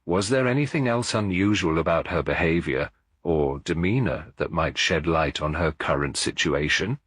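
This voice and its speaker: A man voicing a gentle but firm elderly lady detective in a storybook mystery, polite and probing. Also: rumbling low, moderate pace, breathy edge, no echo, studio quality, heavily acted.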